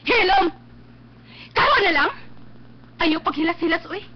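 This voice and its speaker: An actress playing a defiant, hot-tempered young daughter in a Philippine radio drama, snappish and scornful, with a Cebuano accent. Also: female voice, very high voice, expressive, a little slow. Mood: angry, defiant. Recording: dull lo-fi webcast with noise.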